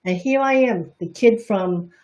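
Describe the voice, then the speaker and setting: A woman's voice: medium pitch, a little slow, warm tone. A middle-aged woman with a Chinese Bostonian accent, teaching reflexology on a livestream in a chatty, candid way, breaking off and restarting her sentences.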